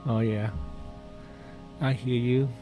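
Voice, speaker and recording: Male live-stream trader, mid-pitched voice, casual and jokey, repetitive phrasing, light music underneath. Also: fairly even intonation, takes it slowly, warm tone, poor audio. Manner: chatty, jokey, repeats phrases and often says "man". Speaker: day trader hosting a live trading stream. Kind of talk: casual live trading commentary.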